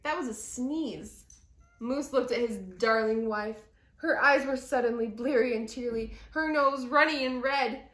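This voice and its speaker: A woman reading a picture book aloud to children with deliberate, dramatic, expressive phrasing. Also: on the high side. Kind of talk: expressive children's story narration. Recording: roomy echo.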